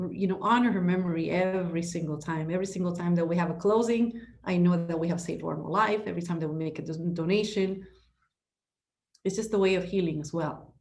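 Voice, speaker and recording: A middle-aged woman from Ecuador, talking as a podcast guest in a passionate, emphatic way, full of restarts and "you know"s. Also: lowish voice. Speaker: podcast guest, real estate broker. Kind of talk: conversational interview.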